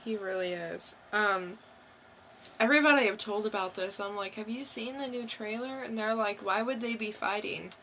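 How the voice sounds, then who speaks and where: Bright-voiced woman co-hosting a casual podcast. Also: medium pitch, normal speed, slight background noise. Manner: informal, slangy. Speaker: podcast co-host. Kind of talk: casual chat.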